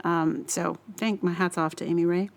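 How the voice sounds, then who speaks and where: A woman's voice: on the low side, fairly even intonation, normal speed, creaky. A woman hosting a podcast, talking casually in a hesitant, rambling way and often interrupting herself. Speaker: podcast host.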